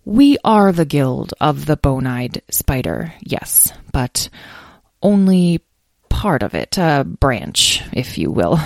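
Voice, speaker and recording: A woman voicing a serious, gentle guild leader in a children's story, speaking in a measured, formal, polite way. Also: low voice, very animated, creaky, no room sound, clean studio sound, heavily acted. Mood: solemn.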